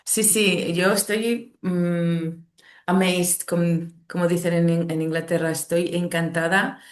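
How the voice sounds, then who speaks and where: A woman's voice: lowish voice, flat delivery, slow. A woman co-host who reads a listener's message aloud and sounds enthusiastic while closing the program. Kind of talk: reading out a message and wrapping up the program.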